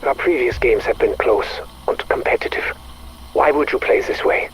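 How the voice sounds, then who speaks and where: An actor playing a patient but suspicious scientist in a video game, formal and measured. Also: male voice, medium pitch, a little hiss or hum, natural voice, poor audio. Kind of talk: video game audio log acting.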